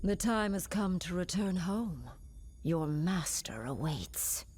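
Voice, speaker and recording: A woman's voice: on the low side, expressive, slow pace, a little mellow, a little hiss or hum. A woman playing a cold, imperious alien warlord in a video game, menacing and stately in delivery. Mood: cold menace. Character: cold, imperious female alien warlord serving a dark master. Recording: music behind the voice.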